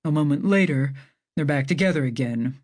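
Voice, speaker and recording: A woman doing a brisk, low-voiced male doctor. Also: darkish tone. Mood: eager. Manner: takes charge, interrupts.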